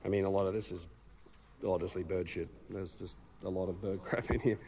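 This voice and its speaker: A man hosting a tour of old stone ruins, chatting casually as he points things out, his voice hoarse from talking too much. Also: deep voice, steady tone, fairly quick, background noise. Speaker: host on a site tour.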